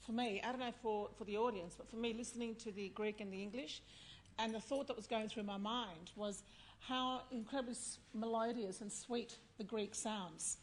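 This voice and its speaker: A woman with a fairly high voice leads a panel, asking open questions and thinking out loud. She fills her pauses with small hesitations. A faint room hum runs underneath. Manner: warm, curious questioning with many fillers. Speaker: panel chair. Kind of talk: chairing a panel discussion. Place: festival venue before an audience.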